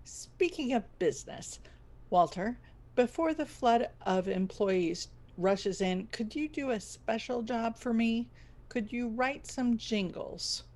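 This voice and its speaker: Radio station boss, played by a woman, female: earnest, frazzled, theatrical audio comedy, higher register, very animated. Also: slow. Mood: earnest, businesslike. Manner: theatrical, exasperated, wry. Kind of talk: scripted audio comedy acting.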